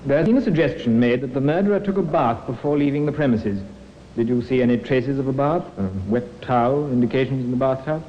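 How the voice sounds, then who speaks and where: A man's voice: medium pitch, a little fast, lightly acted. Man acting an earnest defence barrister, muffled radio. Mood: probing. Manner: formal, precise, rhetorical. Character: earnest male defence barrister, measured and persistent. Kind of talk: 1950s radio drama acting. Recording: muffled, hissy old radio recording.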